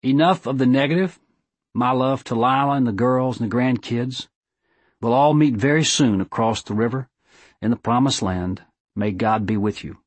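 A man's voice: medium pitch, no echo, close to an everyday voice. Guy doing old dying coach, sorry and solemn, careful delivery, close dry audiobook sound. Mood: tender farewell. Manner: solemn, deliberate. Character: dying old football coach, remorseful confessor.

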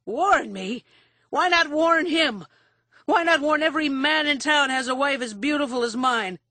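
A woman's voice: sits high, animated, measured pace, bright, forceful tone, no echo, pitched above the performer's own voice, full character voice. A woman voicing a belligerent, drunken older man in an audiobook, cranky, argumentative and bitter. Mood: shrieking rage. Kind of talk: audiobook character acting.

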